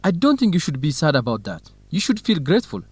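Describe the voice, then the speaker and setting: A man's voice: a little high, huge pitch swings, fast, darkish tone, breathy edge, no echo, heavily acted. An actor playing a caring, reassuring young man in an educational radio drama, speaking earnestly.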